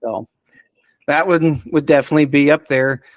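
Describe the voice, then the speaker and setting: A man giving recommendations as a podcast guest in casual unscripted chat, enthusiastic but halting and rambling as he searches for words. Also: a little high, no room sound. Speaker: podcast guest reviewing audio dramas.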